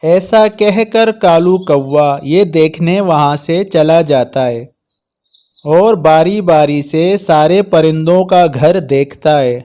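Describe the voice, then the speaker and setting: A man's voice: on the high side, slow, a little mellow. A man reading scripted narration for a children's animal story.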